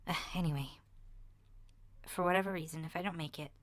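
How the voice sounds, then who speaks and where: A woman voicing an awkward ten-year-old boy in a hesitant, rambling ASMR roleplay diary, soft and breathy and often slipping toward a whisper. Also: on the low side, fairly quick, no room sound, close to an everyday voice. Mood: wistful, earnest. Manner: hesitant, shy, rambling with sighs and self-corrections.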